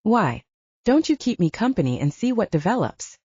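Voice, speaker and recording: A woman's voice: mid-range voice, very animated, normal speed, dark tone, husky. Woman voicing an arrogant, seductive male wolf shifter. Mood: seductive, self-assured. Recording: dull lo-fi, roomy sound.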